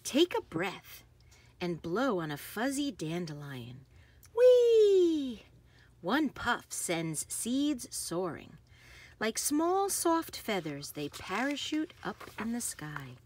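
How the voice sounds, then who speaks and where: A woman reads a children's picture book aloud in an unhurried, very lively voice with a slightly husky edge. She phrases deliberately and leans into the action words. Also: medium pitch. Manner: animated, emphasizing action words. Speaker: read-aloud host.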